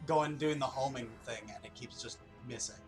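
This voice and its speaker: A man's voice: medium pitch, fairly even intonation, moderate pace, soft background music. A man hosting a gaming video, chatting casually in a sweary, joking way while he plays. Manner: sweary, jokey. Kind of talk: casual chat while playing a game.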